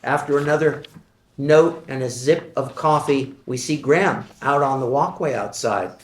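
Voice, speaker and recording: A man reading stage directions aloud at a screenplay table read, steady and matter-of-fact. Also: medium pitch, moderate pace. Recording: echoey room.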